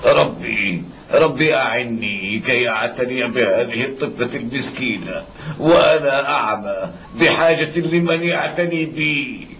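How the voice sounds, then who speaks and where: An actor playing a devout, tender blind widowed father in a dubbed cartoon, pleading and gentle in manner. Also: mid-range voice, lively intonation, measured pace. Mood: grieving. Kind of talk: dubbed cartoon acting in formal Arabic. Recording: muffled old cartoon soundtrack with background noise.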